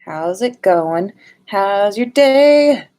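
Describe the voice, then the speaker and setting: A woman's voice: medium pitch, expressive, drawn-out words, mellow. A woman hosting a casual livestream in a playful, informal way, sometimes sing-song and casually sweary. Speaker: livestream host.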